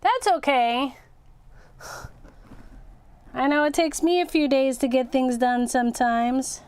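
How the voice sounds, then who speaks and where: Woman, high voice, slow pace, casual craft livestream host, chatty, thinking out loud. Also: fairly even intonation, clear, resonant tone. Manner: chatty, self-narrating, repeats words. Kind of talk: casual live craft chat, thinking aloud.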